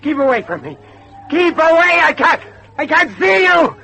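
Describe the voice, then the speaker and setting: Bright-voiced, intense middle-aged father. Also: extremely high pitch, big pitch swings, creaky, full character voice. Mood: panicked, terrified. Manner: courteous, deliberate, dramatic. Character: grave, determined father, a judge seeking his missing son. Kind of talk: old-fashioned radio drama acting.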